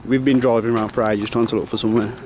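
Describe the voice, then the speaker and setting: Brief young lad, slangy vlogger, noisy outdoor camera audio. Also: male voice, medium pitch, little pitch movement, racing, darkish tone. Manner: slangy, swearing, joking. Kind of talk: casual vlog narration. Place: outdoors at night near old train tracks.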